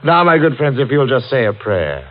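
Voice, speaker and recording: A man's voice: medium pitch, big pitch swings, moderate pace, muffled recording, natural voice. Gloating mad radio villain, played by a man. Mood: gloating, menacing. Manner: mock-courteous, gloating. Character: gleefully mad, mocking gunman villain. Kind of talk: 1940s radio acting. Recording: thin, band-limited old radio recording.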